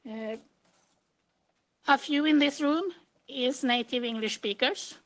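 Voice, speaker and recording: A woman's voice: high-pitched, takes it slowly, fairly bright tone. A middle-aged woman with a Swedish accent, giving an informal, plain-spoken conference presentation in non-native English. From Sweden. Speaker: conference speaker, computer engineer.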